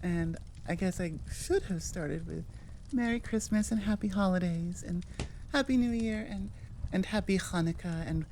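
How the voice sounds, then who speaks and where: A woman's voice: mid-range voice, warm tone, slight background noise. A woman with an American accent chatting casually and informally to her listeners, rambling a little as an audio creator. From United States. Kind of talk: casual outro chat to listeners.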